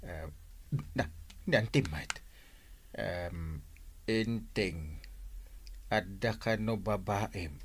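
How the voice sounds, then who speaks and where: A man's voice: low voice, drawn-out words, long pauses, a little hiss or hum, full character voice. A man acting as a lovesick middle-aged village captain in a comedy drama, whining and stammering when flustered. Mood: dismayed.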